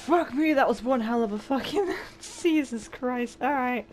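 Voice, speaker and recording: A woman's voice: on the high side, a little slow, darkish tone. A young woman giving casual, sweary let's play reactions, giggly and irreverent. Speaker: gaming streamer. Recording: game music behind the voice.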